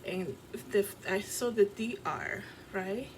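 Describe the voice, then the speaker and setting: A woman with a Dominican accent talks straight to her camera in a casual vlog, rambling earnestly and padding her sentences with fillers. Her pitch sits in an ordinary middle range. A clear hiss of background noise runs under her voice in her apartment. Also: slow, rough recording. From Dominican Republic. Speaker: vlogger and fashion designer. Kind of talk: casual vlog, recounting a dream.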